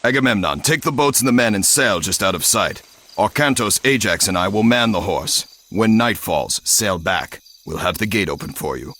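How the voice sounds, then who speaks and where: An actor playing a shrewd, confident ancient war captain in a game cutscene, assured and matter-of-fact. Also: male voice, on the low side, expressive, moderate pace, sharp tone, heavily acted. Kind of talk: dramatic game cutscene acting. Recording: faint music behind the voice.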